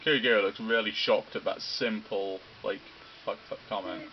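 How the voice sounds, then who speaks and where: Low-voiced man with blunt, profane asides. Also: steady tone, moderate pace, dull audio, faint music behind. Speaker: let's play host. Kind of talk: casual gaming commentary.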